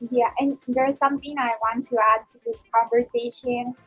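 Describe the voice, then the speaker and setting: Earnest high-voiced woman co-host. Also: fairly even intonation, moderate pace, a bit bright, husky, rough recording. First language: Spanish. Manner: earnest, encouraging, repeats "I mean". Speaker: student co-host. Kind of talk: casual radio chat. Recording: school radio studio with music bed.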